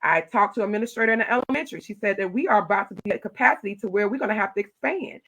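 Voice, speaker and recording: A middle-aged woman, a county commissioner telling stories in an animated, loud voice. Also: medium pitch, very fast, low-quality recording. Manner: animated storytelling, acting out her own quoted replies. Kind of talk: casual interview talk.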